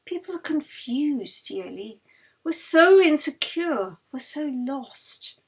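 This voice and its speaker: Woman reading as an earnest, impassioned baron, raised pitch. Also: very animated, takes it slowly, full character voice. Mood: fervent, pleading. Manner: impassioned, grandiose, persuasive. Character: earnest, fervent Swedish baron devoted to spiritualism. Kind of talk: audiobook character dialogue.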